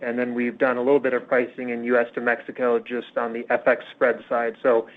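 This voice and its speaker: A man speaking as a finance executive, answering questions on an earnings call in a measured, hesitant way with pauses. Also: medium pitch, completely flat delivery. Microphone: phone conference call. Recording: tinny, narrowband conference phone line.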